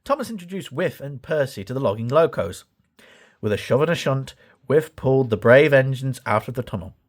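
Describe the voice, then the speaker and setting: Lively man reading a children's story. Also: medium pitch. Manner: animated, acts out dialogue. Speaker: storybook reader. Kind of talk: children's story read aloud, expressive narration.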